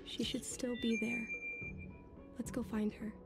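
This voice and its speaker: An actress voicing an earnest young daughter in a video game, determined and affectionate. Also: female voice, on the high side, little pitch movement, a little slow, music in the background. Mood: earnest, gentle.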